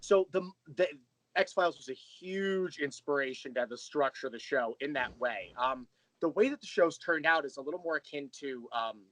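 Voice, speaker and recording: A man's voice: on the high side, moderate pace, a bit bright, no echo, low-quality recording. A man speaking as an interview guest, explaining things in detail in a conversational way. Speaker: interview guest, audio drama creator.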